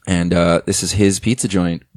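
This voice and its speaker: A man's voice: low-pitched, steady tone, normal speed, a little mellow, dry recording, clean studio sound. A man co-hosting an improvised comedy podcast, talking loosely with frequent chuckles. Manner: loose, joking, chuckles a lot. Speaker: podcast co-host.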